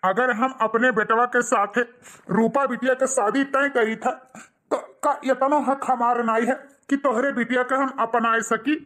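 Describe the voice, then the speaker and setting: A man's voice: very high voice, steady tone, measured pace, bright, forceful tone, full character voice. A man voicing a loyal, earnest village man in a cartoon, with an Awadhi accent and an emphatic, declaiming delivery. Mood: hurt, insistent.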